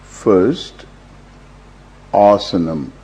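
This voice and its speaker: Very slow, low-voiced older Indian man. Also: expressive, dark tone. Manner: measured, halting short phrases with long pauses. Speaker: meditation teacher, swami. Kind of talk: guided meditation, slow instruction. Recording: dull lo-fi recording with faint hum.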